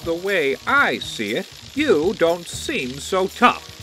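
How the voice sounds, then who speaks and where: A man's voice: high voice, very animated, slow pace, pushed and bright, soft background music, full character voice. A man voicing a boastful insect supervillain in a superhero audio drama, taunting theatrically in rhyme. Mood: gloating, menacing.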